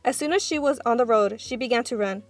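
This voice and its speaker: A woman narrating a children's book aloud in an expressive storytelling style. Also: on the high side, quick pace, soft background music.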